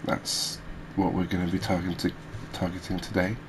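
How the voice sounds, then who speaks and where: A man hosting a gaming video, giving soft ASMR commentary in a halting, hesitant manner. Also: low-pitched. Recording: steady background noise.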